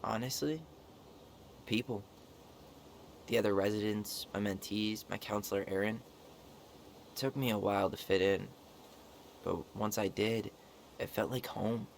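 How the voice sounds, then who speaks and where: A man's voice: on the low side, fairly even intonation, unhurried, long pauses. A man acting an earnest, wry young American man in naturalistic, understated video game dialogue. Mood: reflective. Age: young adult. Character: earnest, dryly joking young man, passionate about wildlife. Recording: game stream with clear background noise.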